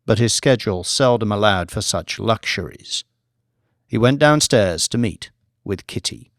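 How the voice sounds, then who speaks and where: A man's voice: lowish voice, lively intonation, unhurried, a bit bright. A man narrating a novel as a scripted audiobook reading.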